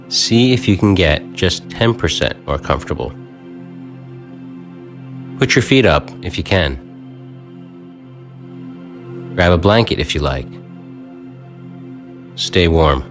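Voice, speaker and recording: A man leading a guided meditation, giving calm, soft instructions and counting breaths with long pauses. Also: deep voice. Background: faint new-age music.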